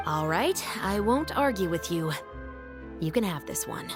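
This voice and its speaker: A woman's voice: on the low side, lively intonation, a little slow. A woman voicing a stern but good-humored police officer in a video game, with an official, wry manner. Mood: wry, exasperated. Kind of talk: scripted video game voice acting. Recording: background music under the dialogue.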